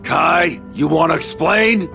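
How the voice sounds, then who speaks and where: An actor playing a gruff, cynical man in an anime game, talking bluntly and casually with dry sarcasm. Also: fairly high pitch, slow pace, exaggerated voice. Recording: music behind the voice.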